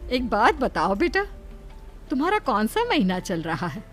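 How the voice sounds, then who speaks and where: A woman's voice: fairly high pitch, huge pitch swings, measured pace, fairly bright tone, full character voice. Woman acting anxious motherly older aunt, music behind. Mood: motherly, cautioning. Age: 60s. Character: concerned, startled sixty-year-old village aunt in horror cartoon.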